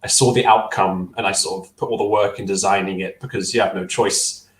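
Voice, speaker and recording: A man's voice: low-pitched, steady tone, a little fast, fairly bright tone, echoey room, poor audio. A young man on a video call with a headset, answering interview questions casually, thoughtful and hesitant with many fillers and restarts. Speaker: stop-motion filmmaker guest. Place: small room at home.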